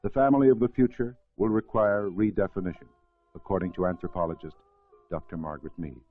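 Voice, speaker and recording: A deep-voiced man delivers formal, measured narration for an educational film. The soundtrack is thin and narrow like vintage film audio, with music playing faintly underneath. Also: steady tone. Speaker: documentary narrator.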